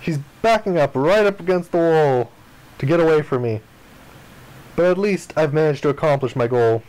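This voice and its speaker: A man with a somewhat higher-pitched voice reads story text aloud from a visual novel. He dramatizes the dialogue, performing the lines as part of a game playthrough. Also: animated, normal speed, darkish tone, poor audio. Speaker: gaming video player reading aloud.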